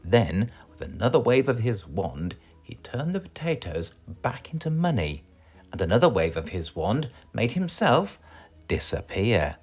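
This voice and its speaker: A man with a British accent reading a children's storybook aloud in a theatrical, expressive way. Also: medium pitch, a little slow, husky. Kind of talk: animated children's storybook narration.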